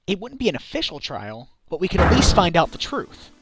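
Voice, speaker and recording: A man playing an earnest, excitable defense lawyer in a fan dub of a courtroom mystery game, emphatic and pleading. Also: high-pitched, brisk, loud music behind the voice, heavily acted. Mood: earnest, pressing.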